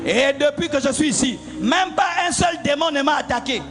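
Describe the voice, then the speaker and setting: A man from the Congo preaching a fervent sermon as a pastor, repeating lines and shouting in a half-sung cadence. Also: extremely high pitch, lively intonation, normal speed. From Democratic Republic of the Congo. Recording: preaching over loud music.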